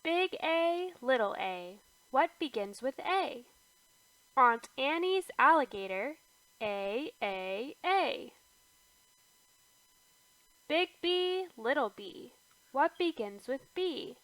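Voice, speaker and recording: A woman reads an alphabet picture book aloud for toddlers, her high voice rising on each capital letter and sinking to a near hush on the repeats. She takes everything very slowly, with lots of space between words. The sound is close and dry, with no room around it. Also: very animated, dry recording, low-quality recording. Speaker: children's book reader. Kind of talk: slow read-aloud for toddlers.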